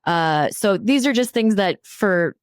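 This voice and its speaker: A woman's voice: mid-range voice, lively intonation, slow pace, clean tone, dry recording, studio quality. A woman hosting a podcast interview in a conversational, informal way, thinking aloud as she frames her questions. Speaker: podcast host interviewing a producer.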